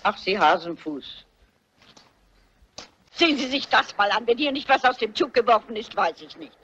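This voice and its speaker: A husky elderly lady sleuth of radio crime drama. She is shrewd, stubborn and briskly sure of herself. The sound is a bit muffled. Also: a little high, expressive, fairly bright tone. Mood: bossy, eager. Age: older. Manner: brisk, self-assured, commanding.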